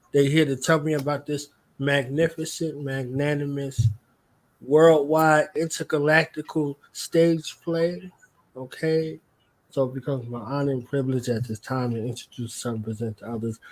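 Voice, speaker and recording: A man's voice: a little high, fairly bright tone, poor audio. A man hosting a radio interview in a playful, effusive way, with theatrical flourishes. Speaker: radio show host.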